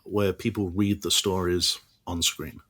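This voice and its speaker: A man's voice: sits low, steady tone, a little slow, fairly bright tone. A man being interviewed as an author, speaking hesitantly with many restarts and fillers. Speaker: author and guest. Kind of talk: casual interview.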